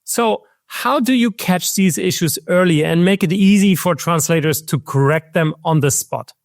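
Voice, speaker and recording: A man in his forties hosting a video-call interview, asking crisp, structured questions from a home office. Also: high-pitched, normal speed, dry recording, studio quality. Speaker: host. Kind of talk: scripted interview host. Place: home office with world map on wall. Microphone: video call webcam.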